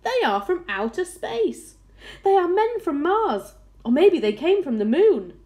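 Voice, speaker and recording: Hysterical onlookers from a storybook, all acted by one woman. They shout in fright and excitement, pitched very high. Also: measured pace, heavily acted. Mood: excited.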